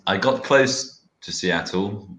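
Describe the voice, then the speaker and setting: A man from the south of England with a British accent, co-teaching an online English class and trading wry, deadpan jokes over a video call. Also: on the low side, slow, reverberant, slight background noise, poor audio. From Hastings, South England. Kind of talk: live online lesson banter.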